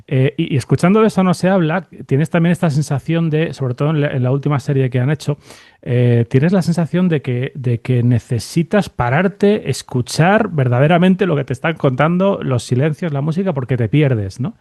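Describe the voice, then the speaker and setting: A man interviewing a guest conversationally as podcast host, in halting phrases full of repeated tag questions. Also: a little high, a little mellow, no echo, clean studio sound.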